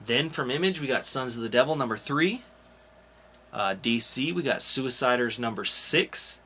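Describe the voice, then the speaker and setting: Bright-voiced man hosting a podcast. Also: medium pitch, animated, normal speed. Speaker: podcast co-host. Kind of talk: casual comic book review chat. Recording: faint background noise.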